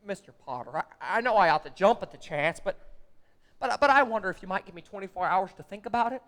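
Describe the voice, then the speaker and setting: A man's voice: sits high, lively intonation, fast, fairly bright tone. A young man playing an earnest, nervous young clerk in a live radio play, stammering and repeating words. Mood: flustered. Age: young adult. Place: theater stage.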